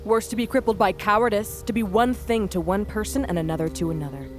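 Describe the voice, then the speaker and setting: An actress playing a fierce, embittered and defiant young huntress princess in a fantasy audio drama, speaking with intense, archaic phrasing. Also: female voice, mid-range voice, quick pace, soft background music, close to an everyday voice. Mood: grim defiance.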